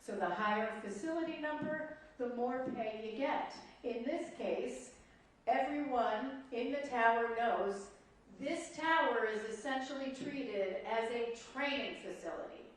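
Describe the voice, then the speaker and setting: Halting, deliberate woman in an echoey hearing room. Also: fairly high pitch. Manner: halting, deliberate, emphatic on key points. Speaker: board official questioning staff at a hearing. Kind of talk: formal public hearing remarks and questioning. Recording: echoey room, distant mic, faint noise.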